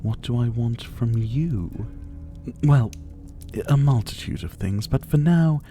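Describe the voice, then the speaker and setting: Male actor as vampire captor, slow drawl, swooping intonation, menacing, teasing, intimate ASMR roleplay. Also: medium pitch, expressive, warm tone, faint music behind. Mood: teasing menace. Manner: slow, drawling, mockingly polite, with breathy chuckles.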